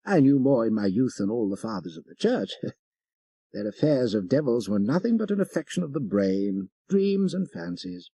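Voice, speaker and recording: A man voicing a fervent French missionary priest telling his tale with emphatic, ironic pauses, as in a dramatic audiobook. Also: medium pitch, very animated, gravelly, warm tone, breathy edge, dry recording, voice pushed up, full character voice. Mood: bitterly ironic. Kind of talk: dramatic first-person storytelling in an audiobook.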